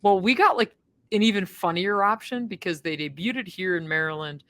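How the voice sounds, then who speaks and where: A woman co-hosting a college football podcast, telling game stories in a booming, lively way from a stadium press box. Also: brisk. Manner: lively storytelling, self-described booming voice. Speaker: podcast co-host, sportswriter. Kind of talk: casual sports podcast chat.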